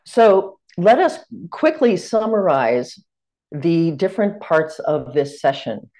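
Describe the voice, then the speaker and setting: A middle-aged woman with an American accent, leading a teaching workshop over a video call from a room at home. She talks in a measured, welcoming way, the tone of an online lecture. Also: on the low side, sing-song, mellow. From United States.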